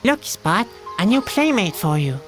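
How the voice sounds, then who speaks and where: High-voiced, melancholy young clown boy. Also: sing-song, unhurried, fairly bright tone, exaggerated voice. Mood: hesitant musing. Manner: hesitant, mournful musing. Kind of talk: scripted adventure game dialogue. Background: music.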